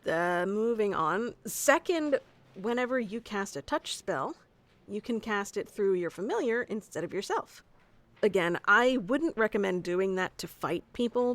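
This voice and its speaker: A woman's voice: mid-range voice, a bit bright, dry recording. A woman playing a bossy, geeky tsundere wizard teacher who explains things informally and smugly in an ASMR roleplay. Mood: explanatory. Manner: informal, smug, flustered asides, explanatory. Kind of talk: scripted ASMR roleplay audio addressed to the listener.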